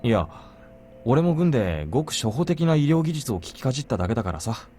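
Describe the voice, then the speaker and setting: A man's voice: medium pitch, very animated, racing, mellow, natural voice. An actor voicing a caring, easygoing young man, the plainspoken, informal protagonist of a visual novel. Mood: flustered, conceding. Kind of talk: Japanese visual novel voice acting. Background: game music.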